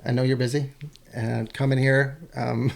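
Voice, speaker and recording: A man hosting an interview podcast, speaking in a halting way, often restarting and repeating words. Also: mid-range voice, flat delivery, creaky. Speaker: podcast host. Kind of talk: conversational interview.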